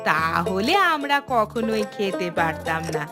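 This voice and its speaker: An older village matriarch played by a woman speaks in a gentle, modest, soothing manner. It is family cartoon dubbing, with prominent music behind the voice. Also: medium pitch, expressive, a little slow, close to an everyday voice.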